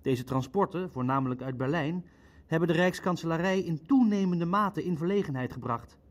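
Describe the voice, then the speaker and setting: An actor playing a sceptical, precise government bureaucrat in an audio drama, speaking in a measured, pointed, formal way. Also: male voice, a little high, lightly acted. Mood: measured, critical. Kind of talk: Dutch audio drama acting.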